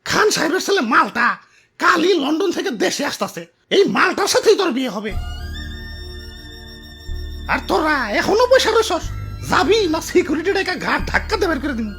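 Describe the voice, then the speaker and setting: A pompous rich orange father from a comic cartoon, with an extremely high, squeaky voice that swoops dramatically. He speaks Bangladeshi Bangla in a sneering, scolding way, with faint music behind him. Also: big pitch swings, fairly quick, pauses often, sharp tone, exaggerated voice. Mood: angry contempt. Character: haughty rich orange fruit father, loud and scornful. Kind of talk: exaggerated comic cartoon acting.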